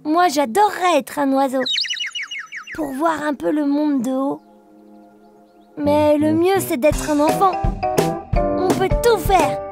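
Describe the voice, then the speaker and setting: A woman's voice: sits high, slow pace, creaky, pauses often, over music, exaggerated voice. A woman voicing a cheerful, playful young bear cub with a simple sing-song delivery in a children's cartoon. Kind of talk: children's cartoon nursery rhymes.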